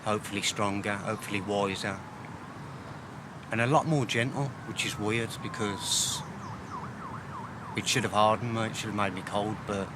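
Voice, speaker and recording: A middle-aged man, a poet, reflecting on his life and reading his poems in a candid, plain-spoken, halting way. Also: steady tone. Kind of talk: frank personal reflection and poetry reading. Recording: noisy ambience, rumbling background noise.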